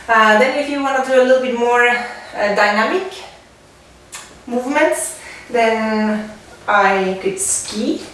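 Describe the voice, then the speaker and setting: A woman's voice: mid-range voice, a bit bright. Finnish-accented woman instructor in an echoey room. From Finland. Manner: halting, pausing between short phrases while demonstrating. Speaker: dance and fitness instructor. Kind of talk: spoken exercise demonstration. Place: indoors at home, away from the mic. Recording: echoey room, distant mic, faint noise.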